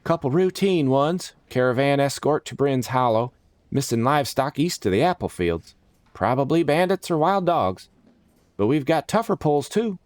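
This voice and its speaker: A man voicing an old male guild clerk, brisk, clipped and businesslike, in a storybook. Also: medium pitch, lively intonation, darkish tone, dry recording, voice pushed up, lightly acted. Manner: matter-of-fact, clipped listing. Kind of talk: storybook character dialogue.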